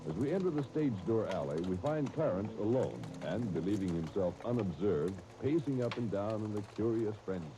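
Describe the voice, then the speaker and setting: Animated American man, vintage radio announcer. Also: fairly low pitch, fairly quick, few pauses. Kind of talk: 1930s radio announcing, scripted. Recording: noisy 1939 radio broadcast disc.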